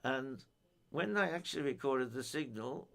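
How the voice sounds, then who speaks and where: Older man, informal technical talk, halting delivery, methodical, explanatory. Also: mid-range voice, creaky, a bit bright. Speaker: amateur radio enthusiast giving a technical talk.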